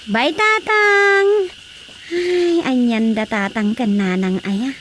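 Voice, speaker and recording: Radio drama role: worried daughter, Filipino accent, high-pitched, full of exclamations. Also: female voice, takes it slowly, fairly bright tone, a little hiss or hum, rough recording. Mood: worried, concerned. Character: worried married daughter, concerned for her quarreling parents.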